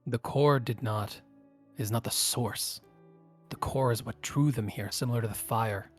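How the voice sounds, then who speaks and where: A man's voice: medium pitch, measured pace, soft background music, natural voice. Man voicing a curt, cryptic swordswoman. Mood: flat, certain. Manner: terse, solemn, correcting. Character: curt, cryptic sword-bearing woman guiding adventurers.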